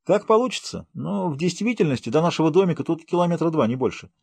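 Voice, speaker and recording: Man playing a breezy, husky-voiced village guide. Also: on the high side, animated, quick pace, darkish tone, no room sound, pitched above the performer's own voice, full character voice. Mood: cheerful. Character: cheerful, confident man, friendly guide to his home village. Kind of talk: audiobook character voice.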